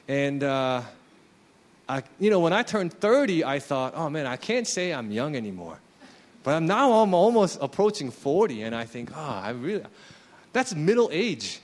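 A man in his late thirties, a pastor, preaching a sermon in a conversational, self-deprecating way. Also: a little high. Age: 30s.

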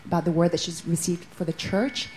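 A woman sharing a testimony at a church meeting, pausing often with hesitant ums. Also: lowish voice, steady tone, darkish tone, slight background noise. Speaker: congregant giving a testimony. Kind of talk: spoken testimony.

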